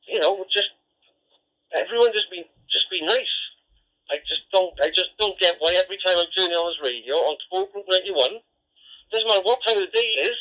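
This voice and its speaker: A man's voice: high voice, pushed and bright. A man speaking as an amateur radio operator, disapproving and exasperated, over a lo-fi digital radio transmission. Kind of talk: radio argument. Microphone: radio transceiver microphone.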